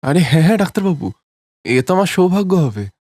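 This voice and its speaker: A man's voice: sits high, expressive, quick pace, darkish tone, dry recording. A man playing a humble, hard-working village husband in a cartoon story. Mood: pleased.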